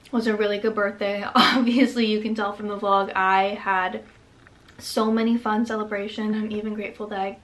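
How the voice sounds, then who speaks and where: A woman in her twenties talking to camera in her bedroom, rambling warmly with lots of "like" fillers and little laughs. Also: medium pitch. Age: 20s. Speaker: vlogger. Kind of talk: casual reflective talk to camera. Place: bedroom, indoors.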